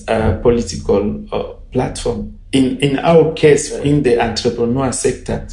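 Slow, echoey Congolese man. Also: medium pitch, warm tone, a little hiss or hum. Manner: hesitant, non-native phrasing. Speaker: interviewee, entrepreneurship support worker. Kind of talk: interview answers.

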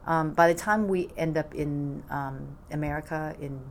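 A woman, speaking haltingly and softly as an interviewee recalling her family's history. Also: deep voice, creaky, a little hiss or hum. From Vietnam. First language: Cantonese. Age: 40s. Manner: halting, soft-spoken, frequent laughs.

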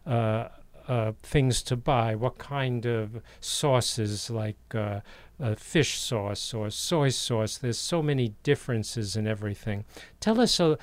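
A man hosting a relaxed interview, taking his time over each phrase. He talks very slowly, pausing often with uh fillers, at a middle pitch. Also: no echo. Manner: slow and halting, many uh fillers. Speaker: interview host. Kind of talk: casual interview.

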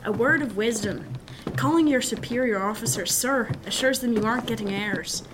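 A woman playing a high-voiced young ship's officer. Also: moderate pace, noisy background, natural voice. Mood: brisk, courteous. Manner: formal, nautical old-fashioned phrasing. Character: level-headed, dryly witty young male ship's officer, 1717. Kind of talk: period radio drama acting.

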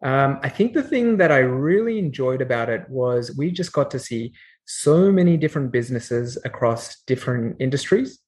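A man with an Australian accent, a company founder explaining things in a measured way with frequent fillers in a podcast interview. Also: medium pitch, animated, darkish tone. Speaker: guest, company CEO.

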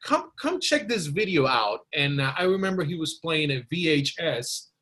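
A man's voice: fairly high pitch, very animated, normal speed, sharp tone. A man from Costa Rica, a native Spanish speaker, chatting informally and telling anecdotes as a podcast guest. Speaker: podcast guest, blues guitarist. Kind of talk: casual storytelling in an interview.

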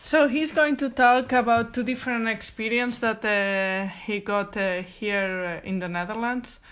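A woman co-hosting a podcast, speaking hesitantly with many pauses on "uh". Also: on the high side, steady tone, fairly bright tone. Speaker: podcast co-host.

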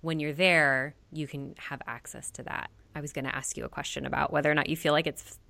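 Low-voiced woman podcast host. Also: steady tone, fairly quick, gravelly, pushed and bright, no echo. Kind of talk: casual interview and show outro.

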